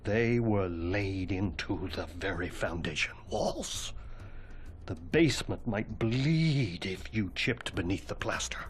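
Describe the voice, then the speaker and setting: A man's voice: fairly low pitch, animated, slight background noise. A man acting as a formal, dryly macabre headmaster of an occult academy in a video game cutscene. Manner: formal, dry, measured wording.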